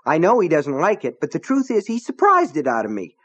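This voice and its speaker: A man's voice: wildly swooping pitch, fairly quick, a bit bright, breathy edge, full character voice. An adult man voicing a scrawny, nervous small-town deputy who talks in a shaky, defiant way. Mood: agitated. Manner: high-strung, trembly, defiant.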